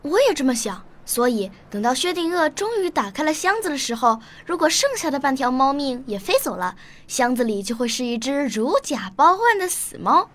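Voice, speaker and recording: A woman's voice: high voice, sing-song, normal speed, few pauses, fairly bright tone. An actress playing a young, curious, bookish schoolgirl in a Chinese radio drama. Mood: curious, earnest.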